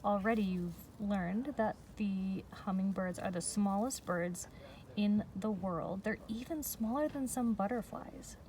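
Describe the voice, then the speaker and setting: A woman reads a children's book aloud as a teacher, very unhurried and explanatory, pausing between phrases. Her voice sits at a middle pitch. She is recorded some way from the microphone, with faint noise behind her. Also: darkish tone. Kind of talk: read-aloud lesson for young children. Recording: distant mic with faint background noise.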